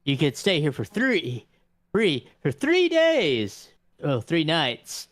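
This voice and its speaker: A man's voice: on the high side, huge pitch swings, slow, a bit bright, dry recording, clean studio sound, heavily acted. A man voicing a courtly, pious vampire in a tabletop game, prudish around ladies, with hesitant, stammering, old-fashioned politeness. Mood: obliging. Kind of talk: improvised tabletop roleplay.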